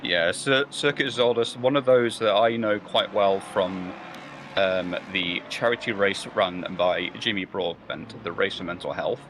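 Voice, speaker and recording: A man's voice: deep voice, unhurried, fairly bright tone, a little hiss or hum, low-quality recording. A man commentating a sim race live, rambling and hesitant, with many fillers and restarts. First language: not German or Belgian.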